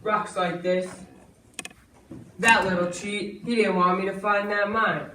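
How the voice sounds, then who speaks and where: A man's voice: high-pitched, steady tone, takes it slowly, sharp tone, heavily acted. A man acting a pompous, scheming government land inspector in an amateur stage play. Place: indoor school stage. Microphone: distant audience camera. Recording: echoey school stage from far off, noisy.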